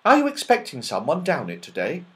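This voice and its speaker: A man voicing an old, long-bearded male elf who is fussy and easily frightened, in a high, squeaky, fretful voice. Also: huge pitch swings, fairly quick, a bit bright. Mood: chatty. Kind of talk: storybook character voice.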